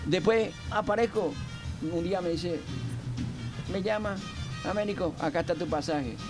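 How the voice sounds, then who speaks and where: An older man from Argentina, a guest on a radio show, telling his story in a rambling, halting way with many fillers. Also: sits high, music in the background. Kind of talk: casual radio interview.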